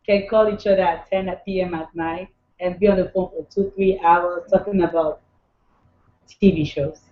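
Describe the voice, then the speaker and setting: An upbeat woman from Nigeria, co-hosting a casual conversation and moving it along. Her voice is mid-pitched and sounds dull and lo-fi. The room around her is echoey. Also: fairly even intonation, moderate pace. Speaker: co-host. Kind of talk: casual chat.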